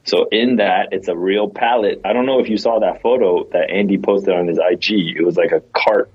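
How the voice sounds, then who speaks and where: Deep-voiced male co-host, husky and conversational, heard over muffled lo-fi sound. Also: steady tone, brisk. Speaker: podcast co-host. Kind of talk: casual chat.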